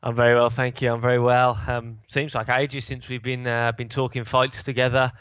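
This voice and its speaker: A man's voice: medium pitch, monotone, moderate pace, fairly bright tone, clean tone, dry recording, highs cut off. A man with a sore throat co-hosting a fight podcast, chatting casually and rambling with many restarts. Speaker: podcast co-host, fight analyst. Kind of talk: casual chat.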